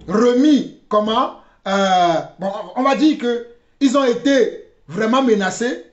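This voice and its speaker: A man giving religious commentary in a deliberate, halting way, repeating phrases for emphasis. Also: very high-pitched. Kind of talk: spoken commentary with preaching. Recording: dull, roomy, echoing recording.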